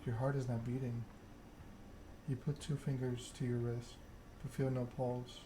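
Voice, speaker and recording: Male ASMR narrator for a text-driven game, very even pitch, clearly noisy ambient background. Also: a little slow. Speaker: streamer reading a game aloud.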